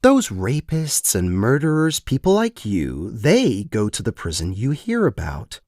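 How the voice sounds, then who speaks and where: A man's voice: mid-range voice, wildly swooping pitch, darkish tone, no echo, studio quality. Man voicing a cool, mocking, menacing businesswoman. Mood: menacing, condescending. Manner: mocking, deliberate, condescending. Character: cool, amused, menacing corporate woman handler. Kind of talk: scripted short-story character voice.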